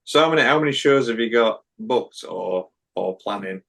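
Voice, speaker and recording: Gravelly-voiced male podcast host. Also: mid-range voice, lively intonation, normal speed, a bit bright. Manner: hesitant, filler-heavy questions. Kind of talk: casual podcast interview.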